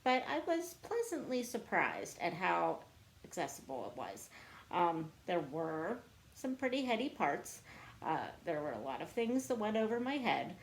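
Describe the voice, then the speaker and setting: A woman talks casually to camera as a book vlogger. Her voice sits a little low, and she takes her time with a slow delivery. She comes across as chatty and self-deprecating. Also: sing-song, gravelly, low-quality recording. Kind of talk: casual chat to camera answering book tag questions.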